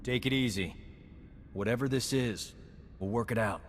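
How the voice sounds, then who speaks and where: An actor playing a cocky, flirtatious rogue doctor in a video game, with a breezy, self-assured manner. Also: male voice, medium pitch, moderate pace, slight background noise, close to an everyday voice. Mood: urgent, reassuring. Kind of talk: video game cutscene acting. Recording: game music behind the voice.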